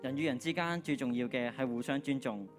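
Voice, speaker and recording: A man's voice: medium pitch, a little fast, faint music behind, close to an everyday voice. A teenage boy playing an earnest, forgiving old schoolmate in a radio drama on stage. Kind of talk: Cantonese radio drama acting.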